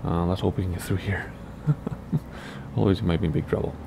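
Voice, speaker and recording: Deep raspy man chatting over gameplay. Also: a little fast, background noise. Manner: chatty, thinking aloud while playing. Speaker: flight sim streamer. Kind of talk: casual gameplay commentary.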